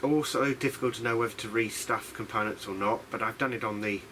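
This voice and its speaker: A man's voice: mid-range voice, brisk, fairly bright tone. A man from the UK giving a casual, unscripted hobbyist demonstration in a rambling, hesitant, self-deprecating way. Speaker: hobbyist radio restorer presenting a video diary. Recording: clear background noise.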